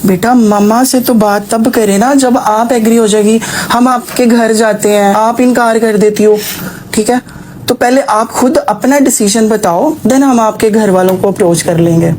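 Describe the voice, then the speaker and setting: A woman's voice: a little high, steady tone, measured pace, darkish tone, slight background noise, lightly acted. A woman playing a persuasive, well-off mother seeking a bride for her son on a prank call, mixing in English words. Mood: probing, coaxing. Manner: auntie-like, persuasive, addresses listener as child, English words mixed in. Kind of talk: acted prank phone call.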